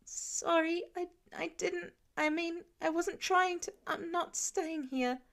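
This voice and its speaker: A woman's voice: extremely high pitch, takes it slowly, a bit bright, voice pushed up, full character voice. A woman voicing a frightened, exhausted teenage boy who stutters and trips over his words. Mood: nervous stammering. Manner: stuttering, breathless, panicky.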